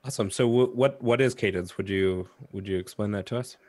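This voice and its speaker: A male podcast co-host with a fairly low voice asks his questions casually. He hedges and repeats words as he goes. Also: fairly quick, darkish tone. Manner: loose, hedging phrasing with fillers.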